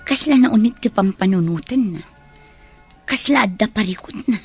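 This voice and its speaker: A woman's voice: mid-range voice, animated, normal speed, darkish tone, breathy edge, faint music behind. A woman playing a friendly, loyal and chatty female teacher in an audio drama. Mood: concerned. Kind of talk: Ilocano audio drama acting.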